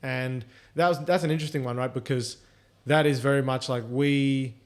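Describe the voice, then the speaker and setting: A man's voice: mid-range voice, fairly even intonation, moderate pace, smooth. Chatty Australian man, rambling. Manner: rambling, filler-heavy storytelling. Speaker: podcast co-host. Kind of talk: casual chat.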